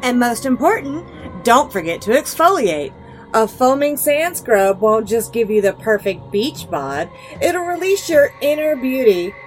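Casting: glamorous, gushing advice lady acted by a woman, high pitch, animated delivery, story reading. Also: a little slow, soft background music, rough recording. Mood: gushing, encouraging. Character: glamorous lady giving parting beauty advice.

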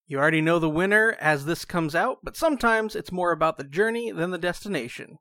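A man's voice: a little high, expressive, fairly quick, sharp tone, no room sound, clean studio sound. A man hosting a story show, reading a scripted introduction. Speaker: host introducing a story episode.

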